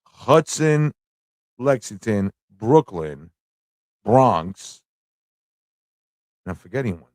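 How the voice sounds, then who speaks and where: A man hosts a livestream in an informal, off-the-cuff style. His voice sits at a middle pitch and he rambles, thinking aloud and repeating himself. The sound is close and dry, with very little room in it. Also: sing-song, slow pace, long pauses, a little mellow, no room sound. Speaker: livestream show host. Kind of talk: casual rambling livestream chat and commentary.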